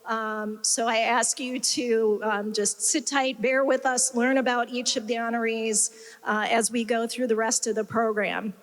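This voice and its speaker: A woman's voice: fairly high pitch, level tone, a little slow. A woman hosting a gala, talking in a chatty, unscripted way with many ums as she introduces the program. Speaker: event host. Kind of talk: informal remarks at a gala. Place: banquet hall at a gala. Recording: reverberant banquet hall.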